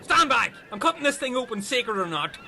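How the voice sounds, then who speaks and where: A man's voice: very high-pitched, sing-song, brisk, sharp tone, soft background music, full character voice. A man playing a mad, hot-headed Irish castaway in a comic radio play, brash and emphatic.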